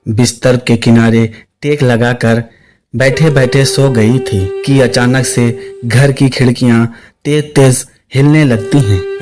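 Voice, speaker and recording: A man narrating a scripted suspense story, with music playing behind his voice. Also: mid-range voice. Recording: clear music under the voice.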